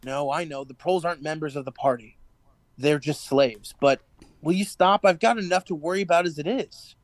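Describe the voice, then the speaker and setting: A man's voice: fairly high pitch, fairly quick, lightly acted. An actor playing an anxious, furtive male party clerk in a radio drama, with a hesitant, nervous manner. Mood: defensive, uneasy.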